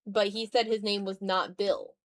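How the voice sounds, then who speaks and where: A woman with a mid-pitched voice hosts a casual art livestream. She sounds tired and low-key, rambling along to her viewers while she draws. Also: level tone, moderate pace. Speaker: streamer hosting a live art stream.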